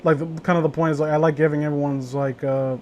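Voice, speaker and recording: A man's voice: a little high, level tone, fast, darkish tone, a little hiss or hum. A man hosting a casual read-along with friends, joking in a crude, teasing way. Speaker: host reading horror stories aloud with friends.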